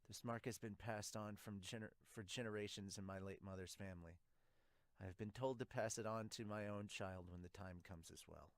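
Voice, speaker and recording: A young man giving an amateur dramatic read-aloud of manga dialogue, somewhat halting, into a close mic. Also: fairly low pitch, fairly even intonation, no echo. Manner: halting reading with stumbles. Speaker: podcaster reading a manga aloud as an amateur dub. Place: small home room, indoors. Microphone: large studio mic with pop filter, close.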